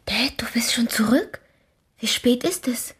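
Eine Schauspielerin spielt in einem Hörspiel ein höfliches, neugieriges, etwas naives jugendliches Mädchen, das sanft fragt. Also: female voice, a little high, animated, unhurried, breathy edge, full character voice. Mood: anxious. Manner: polite, questioning, soft. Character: polite, curious, naive teenage girl. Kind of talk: German radio play acting.

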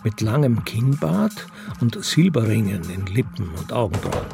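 An actor narrating a crime story in a scripted radio drama. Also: male voice, lowish voice, sing-song, measured pace, vocal fry, music in the background, full character voice. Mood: measured narrating.

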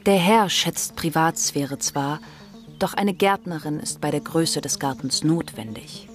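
A woman's voice: sits low, a little slow, soft background music. An actress playing a composed narrator in a radio play, formal and precise in her scripted delivery. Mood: composed, descriptive.

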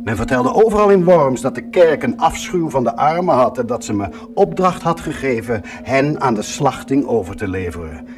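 An actor playing a bitter, mocking fallen priest tormented by a devil, in a theatrical, accusing radio drama style. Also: male voice, medium pitch, sing-song, noisy background, natural voice. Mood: defiant confession. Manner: slow, accusing, sardonic, solemn declaiming.

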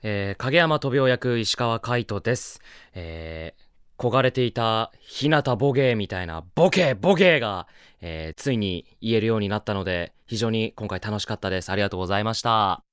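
A man's voice: lowish voice, lively intonation, moderate pace, a bit bright, smooth, no echo, clean studio sound. A man who works as a voice actor, giving a short cast comment.

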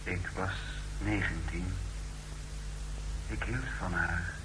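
A man's voice: low voice, fairly even intonation, slow. An actor playing a submissive young man under brainwashing, hesitant and obedient, in a 1960s radio drama. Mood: dazed, submissive. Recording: noisy, humming 1960s radio recording.

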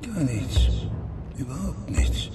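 Ein Schauspieler spricht in einem Hörspiel den Teufel, einen listigen Verführer, der beharrlich und neckend Handel anbietet. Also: male voice, mid-range voice, very animated, takes it slowly, distant mic, heavy background noise. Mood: sly, tempting. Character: the Devil, a sly tempter offering bargains. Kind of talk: radio drama acting.

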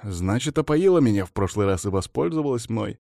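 A man's voice: on the low side, expressive, a little fast, vocal fry, mellow, dry recording, studio quality. A man voicing a brash young male servant of chaos, blunt and needling, in a fantasy story. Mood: sly, accusing.

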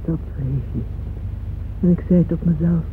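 An actress playing a devoted, possessive wealthy lady in a radio play, narrating in a deliberate, intimate, dramatic way. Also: sits low, fairly even intonation. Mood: brooding, intense. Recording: muffled phone-like old radio recording with noise.

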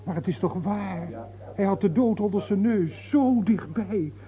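An actor playing a worldly, excitable cleric at a royal court in a theatrical radio play. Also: male voice, high voice, a little slow. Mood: startled, wry. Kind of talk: theatrical radio drama acting. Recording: thin phone-like old radio recording.

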